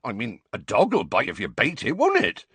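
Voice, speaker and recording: A man's voice: fairly low pitch, wildly swooping pitch, normal speed, sharp tone, slightly rough, dry recording, heavily acted. A man voicing a kindly, bumbling giant of a teacher with a hesitant, folksy manner. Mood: anxious. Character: kindly, gigantic rustic male teacher, cheerful and bumbling.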